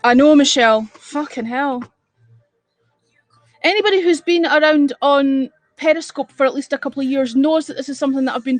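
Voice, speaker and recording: Blunt high-voiced Scottish woman. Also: dry recording. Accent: Edinburgh Scottish. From Scotland. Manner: blunt, exasperated and sweary. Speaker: livestream host. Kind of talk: casual live-stream chat with viewers.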